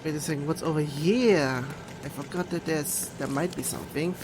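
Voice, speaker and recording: A woman giving casual live playthrough commentary, thinking aloud in a halting manner as a game streamer. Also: sits low, noisy background.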